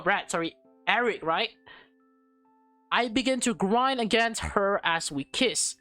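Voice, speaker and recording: A woman streamer reads a visual novel aloud at a slow pace, tripping over and repeating words. She drops in excitable reactions of her own. The sound is dry, with faint music underneath. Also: mid-range voice, no room sound. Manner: stumbles and repeats words while reading. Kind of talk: reading game text aloud with excited asides.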